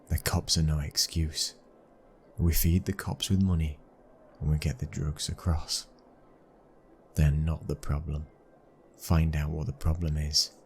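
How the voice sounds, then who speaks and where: A British man playing a young mafia boss's son, a protective, controlling husband, in an intimate ASMR roleplay, with a husky, breathy voice and a commanding manner. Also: very deep voice, a little hiss or hum. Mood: businesslike, commanding. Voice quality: husky, breathy, sometimes dropping to a whisper. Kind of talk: ASMR boyfriend roleplay audio drama.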